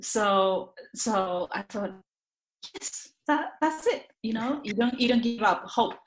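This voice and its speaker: A woman's voice: on the high side, expressive, slow. A woman speaking as an interview guest, reflective and candid, often repeating words and phrases as she searches for the right ones. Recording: roomy, echoey sound.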